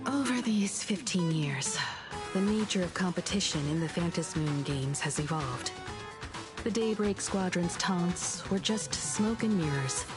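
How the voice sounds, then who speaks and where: An actress playing a composed, analytical, serious woman in a video game, speaking in a measured, formal, reflective way. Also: on the low side, lively intonation, over music.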